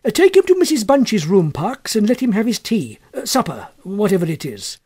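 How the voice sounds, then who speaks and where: A man voicing an elderly, austere but kindly gentleman scholar in a story, fussy and eager, hesitating and repeating his questions. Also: high-pitched, wildly swooping pitch, normal speed, breathy edge, pitched above the performer's own voice, exaggerated voice. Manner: hesitant, repetitive, fussily questioning.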